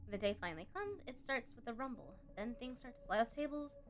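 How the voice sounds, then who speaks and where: A woman with a fairly high-pitched voice plays through a game for viewers. She reads the story text aloud expressively and breaks off for chatty, playful asides. There is light noise behind her voice. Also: animated, fast. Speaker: game streamer. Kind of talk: live let's-play commentary, reading game text aloud.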